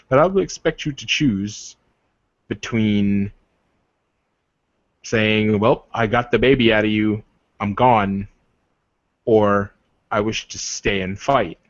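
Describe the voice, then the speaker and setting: Tabletop game werewolf played by a man: low, blunt, deliberate and exasperated, roomy lo-fi recording. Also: heavily acted. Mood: measured, blunt. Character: blunt, exasperated male werewolf negotiating partnership.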